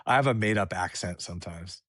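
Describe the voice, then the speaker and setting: Canadian man, laid-back guest, lowish voice, casual chat. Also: brisk, fairly bright tone, no room sound, clean studio sound. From Vancouver, Canada. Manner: laid-back, laughs often, many fillers.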